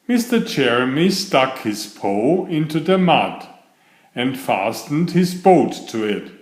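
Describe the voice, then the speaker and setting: A man's voice: medium pitch, sing-song, takes it slowly. A man reading a children's picture book aloud as a scripted story narration. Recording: roomy echo on the voice.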